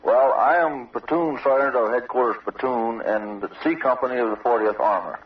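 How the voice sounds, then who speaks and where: A man's voice: mid-range voice, normal speed. Formal soldier, male, on distant muffled recording. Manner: formal, matter-of-fact military phrasing. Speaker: army sergeant, interviewee. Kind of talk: answering a news interview. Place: outdoor observation post. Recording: dull, distant old radio field recording.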